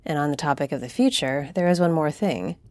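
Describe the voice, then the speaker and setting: A woman acts out a solemn griffin-shifter diplomat in a fantasy audio drama. She speaks formally and deliberately in a fairly low, dark-toned voice, recorded dry and with real authority. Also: dry recording, lightly acted. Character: stately female griffin-shifter council leader, solemn, fair-minded diplomat. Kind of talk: fantasy audio roleplay acting.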